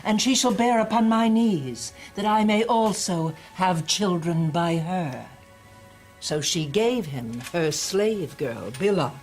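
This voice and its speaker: An actress playing a stern, fanatical older indoctrinator in an audio drama, preachy and scolding. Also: female voice, sits low, heavily acted.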